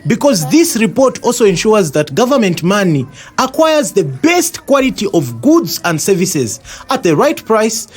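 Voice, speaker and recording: A man's voice: high voice, sing-song, normal speed, few pauses, slightly rough, faint music behind, heavily acted. A man acting an earnest, indignant citizen with a Zambian accent who explains things emphatically in a scripted educational audio drama. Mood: emphatic.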